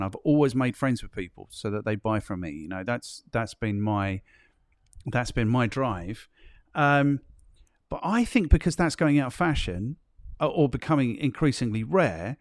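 A man's voice: mid-range voice, no room sound. Man chatting in a dry, close recording. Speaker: podcast host. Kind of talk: casual conversational interview talk.

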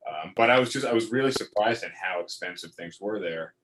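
A man's voice: on the low side, a little fast, fairly bright tone, a little hiss or hum, low-quality recording. A man telling a casual, rambling travel story, with restarts and free swearing. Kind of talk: casual chat.

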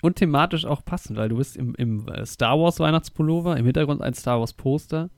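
A man's voice: on the high side, brisk, dry recording. A young adult man with a slight cold, chatting casually and in slangy as a podcast co-host.

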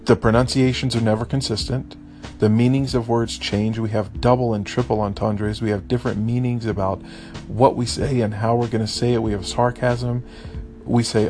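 A man talks loosely in a casual podcast ramble, his voice a little raspy and gravelly with fry at the bottom. He mimics stiff phrases by jumping up in pitch. Faint music plays underneath. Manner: animated, mimics voices with high pitch jumps. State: slightly raspy from singing. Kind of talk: casual spoken daily podcast. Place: lives abroad in Vietnam.

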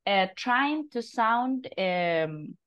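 A woman's voice: on the high side, animated, very slow, fairly bright tone, highs cut off. Spanish-accented female host, encouraging, interview tone. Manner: encouraging, asks questions. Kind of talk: casual interview.

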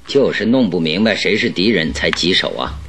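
An actor playing a shrewd, calm detective with a wry, teasing manner in a radio drama. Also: male voice, low voice, brisk, husky, full character voice. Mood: serious, alert.

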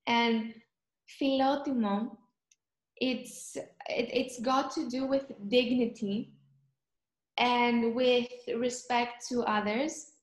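A young woman from Cyprus, chatting in an interview and often pausing to search for words. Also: a little high, fairly even intonation, roomy sound. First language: Greek. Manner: thoughtful, searching for words, frequent ums. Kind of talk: casual chat.

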